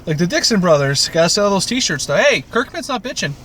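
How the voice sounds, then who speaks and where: A man's voice: high-pitched, sing-song, brisk, sharp tone, slight background noise. A man from Canada co-hosting a casual TV recap podcast, opinionated and swearing freely. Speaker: podcast co-host.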